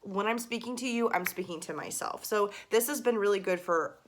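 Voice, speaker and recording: A young adult woman voice teacher talks through warm-up tips in a casual, filler-heavy way. A slight gravel shows in her lower register as she demonstrates exercises. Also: a little fast. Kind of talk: casual video lesson.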